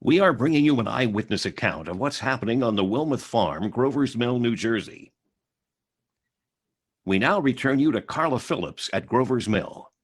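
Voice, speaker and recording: Formal radio announcer, husky, steady delivery. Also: male voice, medium pitch, moderate pace.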